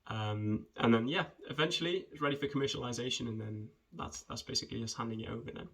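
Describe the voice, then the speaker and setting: A man, talking in a friendly, conversational way with hesitant pauses as he introduces himself and his work for students. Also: mid-range voice, fairly bright tone, slightly rough. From rural southwest Wales. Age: 20s. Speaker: junior footwear developer. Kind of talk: informal self-introduction video for students.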